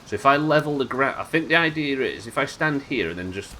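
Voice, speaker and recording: A man with a nasal voice, a little hoarse from illness, chatting wryly and swearing casually while streaming a game live. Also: medium pitch, animated, a little fast, sharp tone, slight background noise. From United Kingdom. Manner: chatty, wry, swears casually, muttering asides. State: sick, slightly hoarse.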